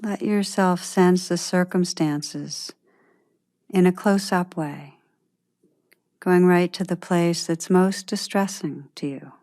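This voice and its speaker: Female meditation guide, lower-pitched, very slow and gentle, pausing often. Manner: gentle, unhurried, long pauses.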